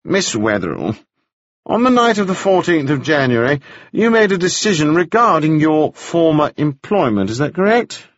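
A man's voice: a little high, very animated, moderate pace, dry recording, muffled recording, voice pushed up. A man voicing a sly male prosecutor who questions a witness with pointed, pleasant-sounding phrasing. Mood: probing.

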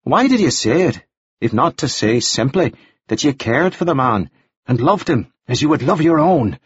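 A man voicing a genteel, talkative gentleman who speaks formally and politely, in a dull, dry recording. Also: mid-range voice, big pitch swings, normal speed, breathy edge, no room sound. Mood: earnest. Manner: formal, flowery, solemnly polite.

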